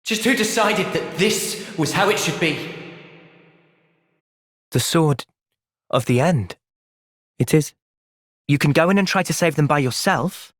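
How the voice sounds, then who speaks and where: A young man acting an earnest, thoughtful soldier hero in a video game cutscene, speaking in a measured, sincere way. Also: fairly high pitch, expressive, a bit bright, no echo, clean studio sound. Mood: earnest, reflective.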